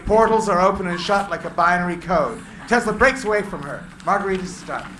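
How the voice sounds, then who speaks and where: High-pitched man narrating in an echoey room. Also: expressive, brisk, crowd noise. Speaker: narrator of stage directions. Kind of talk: dramatic live script reading. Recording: echoey room with clear background noise.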